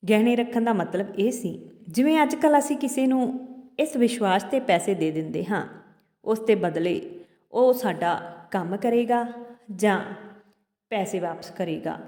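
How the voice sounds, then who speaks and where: A woman storyteller with a medium-pitched voice tells a moral folk tale. She delivers it deliberately in short phrases with pauses between them. The recording carries a long reverb, as if in a hall. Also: animated, dark tone.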